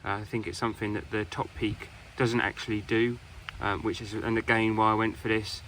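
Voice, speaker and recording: A British man giving a casual hands-on product review in an informal, halting way. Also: lowish voice, level tone, a bit bright, a little hiss or hum, low-quality recording.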